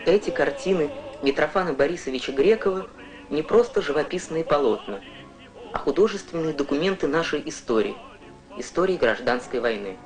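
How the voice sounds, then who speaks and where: Animated narrator for a kids science newsreel, storytelling style, loud music behind. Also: medium pitch, fairly quick. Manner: storytelling, addresses young viewers with questions. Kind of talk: scripted educational documentary narration. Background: prominent music.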